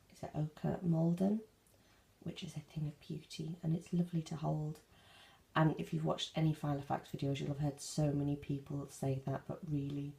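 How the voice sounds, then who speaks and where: A low, gravelly woman talks in a friendly, chatty way, her morning voice croaky and husky. She flips through pages as she explains, recorded close on a phone held in her hand. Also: mellow, low-quality recording. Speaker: planner vlogger. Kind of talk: casual show-and-tell walkthrough. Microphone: handheld phone.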